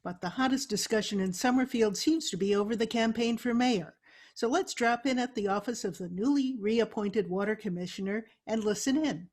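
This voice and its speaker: Husky woman announcing a radio show. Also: a little high, normal speed, darkish tone. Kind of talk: scripted old-time radio announcing.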